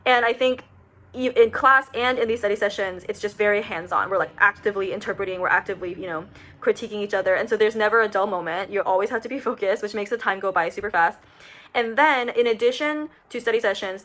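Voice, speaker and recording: A woman's voice: mid-range voice, a bit bright. A young woman in her twenties, a recent graduate, talking casually to camera with lots of fillers like "um" and "like". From United States. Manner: chatty, many fillers like "um" and "like".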